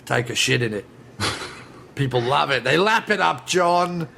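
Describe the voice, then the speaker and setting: A man's voice: on the high side, expressive, a little slow, creaky, sharp tone, a little hiss or hum, full character voice. A man voicing a parody of a smarmy, menacing action-movie villain for a comedy podcast, with a taunting manner. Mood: smarmy boasting.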